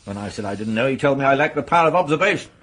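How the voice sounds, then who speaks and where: An actor playing a sober police major in a radio mystery, speaking in a measured, serious way with a slightly husky voice. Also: male voice, mid-range voice, expressive, a bit bright. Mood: exasperated. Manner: measured, serious, matter-of-fact. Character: sober, businesslike male police major briefing colleagues. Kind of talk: radio mystery drama dialogue.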